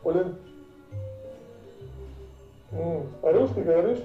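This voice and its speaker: High-voiced Russian man on a dull line. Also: slow, faint music behind. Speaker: video chat user. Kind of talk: casual video chat. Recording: dull, distant, echoey stream.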